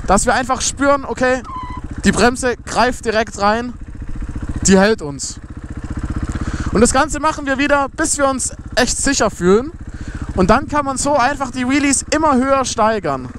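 A man's voice: very high-pitched, animated, moderate pace, pauses often, fairly bright tone. A young man giving a casual how-to explanation while riding a motorcycle outdoors, recorded on a ride-along camera. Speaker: motovlogger. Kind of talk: riding tutorial commentary. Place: outdoors on a motorcycle on a closed road. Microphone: helmet or body camera while riding. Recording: faint motorcycle engine noise. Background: motorcycle engine.

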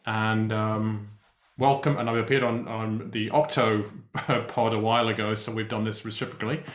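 A man hosting a live interview show over a video call, talking in a relaxed way with many ums and pauses. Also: on the low side. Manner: relaxed, hesitant with many fillers. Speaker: host. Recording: roomy echo on a video call.

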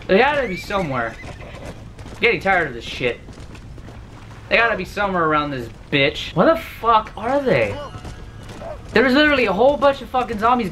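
Young guy screaming at his game in his bedroom, voice going rough, super bright, faint hiss. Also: high voice. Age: 20s. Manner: loud, profane, yelling at the game. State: losing his voice. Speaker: gamer. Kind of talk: let's play commentary. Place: bedroom, indoors.

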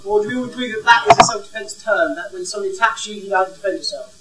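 A man's voice: high-pitched, very fast, heavy background noise. A man in his thirties, the head of a school, walks around without a mic, asking the audience questions and leading an icebreaker. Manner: energetic, walks around gesturing, asks the crowd questions. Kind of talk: interactive talk without a microphone. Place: large indoor hall. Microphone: no mic, far camera. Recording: roomy hall from a distance.

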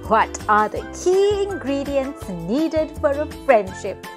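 A young woman reading for children in an expressive, measured way, as a storyteller. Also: sits high. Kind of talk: scripted children's read-aloud and questions. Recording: music behind. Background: children's music.

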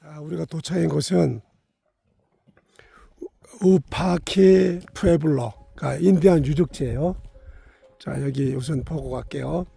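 A middle-aged man with a hoarse voice from a cold, narrating his family's trip outdoors as a travel vlogger and explaining each stop. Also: on the high side, unhurried, long pauses, dark tone, soft background music. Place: outdoors at a desert monument site.

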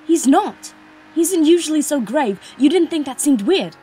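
Lady playing earnest young princess, highish voice, very expressive, audio drama. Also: moderate pace, faint music behind. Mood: worried. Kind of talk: fan audio drama acting.

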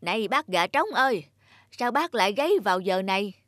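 A woman's voice: mid-range voice, expressive, a little fast, a bit bright, no echo, studio quality, natural voice. A woman voicing a friendly, eager talking farm animal in a cartoon fairy tale.

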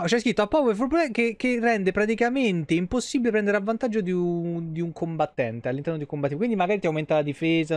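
A young man streams a video game in a fairly high voice. He comments casually and swears freely as he reacts. The sound is dry and close, like a home streaming setup. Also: no echo. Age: young adult. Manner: foul-mouthed, animated reactions to game and chat. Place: small indoor room at home.

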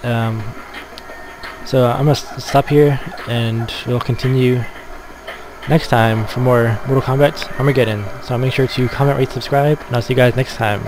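A man giving casual gameplay commentary as a Let's Play gamer, in a hesitant, low-key manner. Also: fairly even intonation, normal speed, poor audio. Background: game music.

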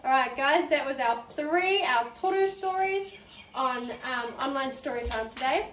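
A woman in her thirties with a New Zealand accent, leading an interactive children's story time with animated, encouraging, drawn-out teacher talk. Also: high-pitched. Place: preschool room, indoors. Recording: roomy, distant mic, background noise.

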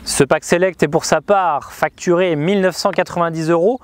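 A man's voice: fairly high pitch, steady tone, moderate pace. A man in his thirties presenting a scripted motorhome review on a lapel mic, with precise, presenter-like delivery. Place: inside a motorhome, then outdoors by the roadside.

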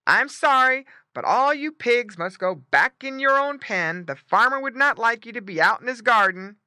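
A woman's voice: on the high side, expressive, moderate pace, sharp tone, clear, resonant tone, no echo, clean studio sound, voice pushed up. A woman voicing a stern but courteous farm dog who guards a garden, in a storybook reading. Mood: firm. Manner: firm, courteous.

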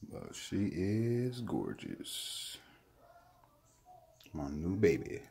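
Casting: deep-voiced man, unhurried delivery, excited home vlogger, a little sweaty and winded. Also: creaky, husky. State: sweating, a bit winded while handling. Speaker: reptile keeper vlogger. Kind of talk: casual handheld show-and-tell vlog. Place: indoors at home, by a table. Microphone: handheld phone camera, off-screen speaker.

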